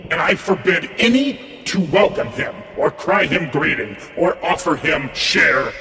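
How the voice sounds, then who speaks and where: A man's voice: on the high side, animated, a little slow, creaky, pushed and bright, long reverb tail, full character voice. A man voicing a proud, wrathful, suspicious ancient king in a declamatory, theatrical storybook manner. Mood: commanding.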